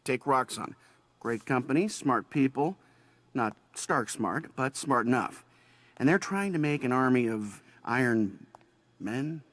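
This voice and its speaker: Swaggering, mid-pitched superhero showman. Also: animated, a little slow, fairly bright tone, no room sound. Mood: boastful, musing. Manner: boastful, wry, conversational. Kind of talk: scripted speech in a game cutscene.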